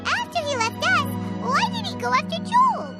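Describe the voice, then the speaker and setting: A woman's voice: extremely high pitch, sing-song, moderate pace, over loud music. A woman voicing a tiny childlike female fairy companion in a video game, chatty and loyal, with a chirpy manner. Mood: eager, chatty. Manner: chirpy, refers to self in third person. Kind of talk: animated video game character dialogue.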